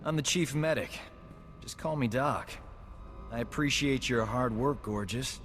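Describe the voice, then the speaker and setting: A male actor as a brash, flirtatious combat medic from a video game. His voice sits at a middle pitch and his manner is glib. It rings with reverb over faint music. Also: measured pace, fairly bright tone, lightly acted. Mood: flirty, pressed. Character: cocky, flirtatious male resistance field medic.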